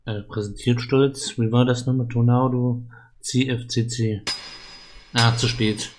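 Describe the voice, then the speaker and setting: A man's voice: mid-range voice, little pitch movement, a little slow, background noise. A man giving casual live commentary while playing an adventure game. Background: game music.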